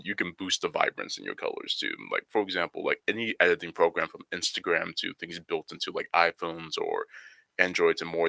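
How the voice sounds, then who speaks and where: A young man, a photographer guest on a podcast, explaining his craft in a relaxed way with lots of "you know" fillers. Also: deep voice, creaky, no echo. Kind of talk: podcast interview answers.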